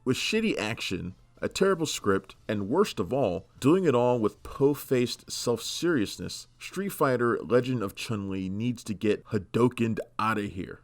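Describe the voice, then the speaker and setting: A man's voice: mid-range voice, expressive, unhurried, few pauses. A man hosting and narrating a scripted video essay in a snarky, opinionated, profane manner. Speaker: host and narrator of film ranking video.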